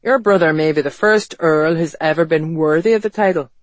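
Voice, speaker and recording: Man voicing a gruff, guarded period-novel man, dry sound. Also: a little high, a little fast, no echo, close to an everyday voice. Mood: gruff, deadpan. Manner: gruff, terse, wary. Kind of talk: audiobook character dialogue.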